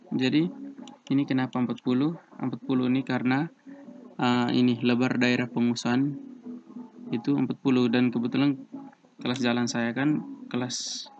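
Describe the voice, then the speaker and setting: A young man with an eastern Indonesian accent, walking students through an assignment in a relaxed, halting way with many fillers. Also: mid-range voice. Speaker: civil engineering student tutor. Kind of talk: screen-recorded tutorial walkthrough. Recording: dull lo-fi mic with guitar music behind.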